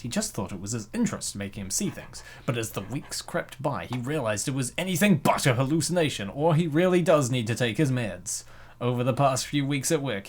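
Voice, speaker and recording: A man's voice: mid-range voice, a bit bright. Playful man narrating a comic dub. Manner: playful, slangy. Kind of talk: scripted narration with joking asides.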